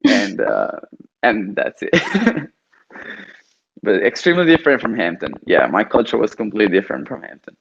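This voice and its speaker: A man's voice: lowish voice, wildly swooping pitch, normal speed, creaky, breathy edge. A young man from Italy talking casually as a student guest, hesitant and full of fillers. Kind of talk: casual conversation. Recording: dull lo-fi audio.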